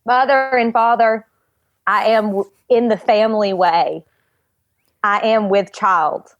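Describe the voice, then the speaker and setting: A woman playing a nervous, evasive daughter with a hesitant, deadpan delivery in an improvised comedy table read. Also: mid-range voice, takes it slowly, no room sound, lo-fi sound, lightly acted. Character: nervous, evasive pregnant daughter in a comic melodrama.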